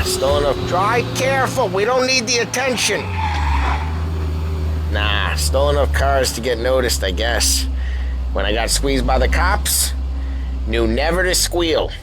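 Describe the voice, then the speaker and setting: An actor playing a streetwise, foul-mouthed gangster, chatty and cocky, in a blunt, slangy crime-game style. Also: male voice, medium pitch, noisy background, close to an everyday voice. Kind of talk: cinematic game voice acting, period crime drama. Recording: loud music over the dialogue.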